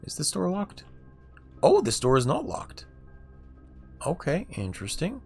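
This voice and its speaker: A man streaming a video game, talking through his moves in a low-key, muttering way. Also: huge pitch swings, a little slow, vocal fry, a little mellow, breathy edge, soft background music. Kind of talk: casual gameplay commentary.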